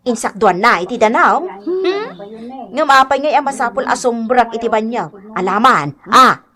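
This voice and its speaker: A woman's voice: a little high, expressive, moderate pace, a crowd in the background, close to an everyday voice. A woman with an Ilocano accent playing an insistent, gossipy village woman in a radio comedy drama, exclamatory and dramatic.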